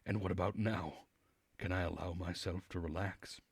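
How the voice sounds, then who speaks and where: A man streaming a visual novel, reading the narration aloud in a measured way with dramatic pauses. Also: sits low, steady tone, creaky, slightly rough, no echo.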